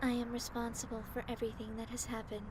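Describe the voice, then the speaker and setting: A woman playing a quiet, emotionless teenage girl in an anime fan dub, deadpan, precise and formal. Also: fairly high pitch, fast, noisy background, close to an everyday voice. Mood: impassive.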